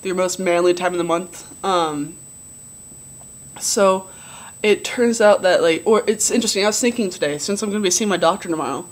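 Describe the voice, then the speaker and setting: A young man with a relatively low voice giving a casual video diary update, rambling with many ums and likes. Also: normal speed. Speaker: vlogger.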